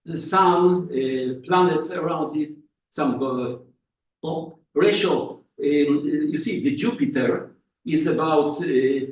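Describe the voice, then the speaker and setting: A man giving a physics lecture as a guest speaker, halting and restarting his phrases as he goes. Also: on the high side, a little hiss or hum. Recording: echoey room from far off.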